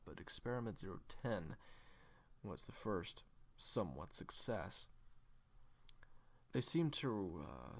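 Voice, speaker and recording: A man playing a weary, secretive assassin in an audio drama, telling a dark past haltingly in a gravelly voice that slips toward a whisper. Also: low-pitched, heavily acted. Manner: halting, deliberate, with heavy pauses. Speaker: audio roleplay voice actor. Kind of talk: hushed confessional storytelling in audio drama.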